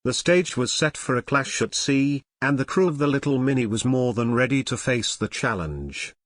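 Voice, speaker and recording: A man's voice: medium pitch, lively intonation, moderate pace, no echo. Male audio drama narrator, dry sound.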